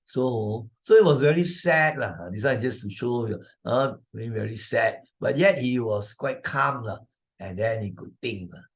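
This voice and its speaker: A man's voice: medium pitch, moderate pace. A man with a Malaysian accent narrating and explaining a moral story for children as a teacher, in a conversational, repetitive way full of little tag questions.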